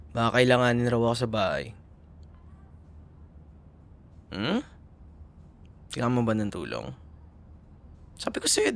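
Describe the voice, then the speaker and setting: A man playing a young Filipino boyfriend, tender and proud, in an intimate audio roleplay, with a Filipino accent. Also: medium pitch. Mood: caring, casual. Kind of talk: ASMR boyfriend roleplay drama.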